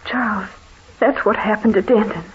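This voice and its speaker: An actress playing a frightened, insistent wife in an old-time radio horror drama, with melodramatic delivery. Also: female voice, medium pitch, fairly even intonation, normal speed, lightly acted. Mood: distressed. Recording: tinny, faintly noisy old radio recording.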